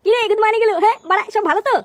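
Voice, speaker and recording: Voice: very high voice, steady tone, very fast. A performer voicing a brash, bossy, foul-mouthed cartoon character in a comic dub, swaggering and scolding. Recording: music behind the voice.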